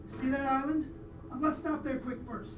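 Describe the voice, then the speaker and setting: A woman's voice: sits high, fairly even intonation, normal speed, natural voice. A woman playing a glib, defensive man who shoots crocodiles, in a live radio play. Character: brash, dismissive crocodile-shooting man, expectant father, Leonard. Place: live performance venue, recorded from off. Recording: distant, echoey live stage recording, loud noise.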